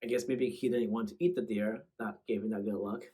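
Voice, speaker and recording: A man's voice: on the low side, fairly even intonation, brisk, dark tone, slightly rough. A man hosting casual reaction commentary in a slangy, bantering way. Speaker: host.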